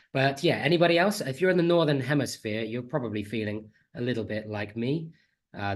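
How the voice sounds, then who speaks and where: Man, slightly nasal from a cold, friendly conference presenter, video call mic. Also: mid-range voice. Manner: friendly, chatty, self-deprecating. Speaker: conference speaker, teacher trainer. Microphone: computer mic on a video call.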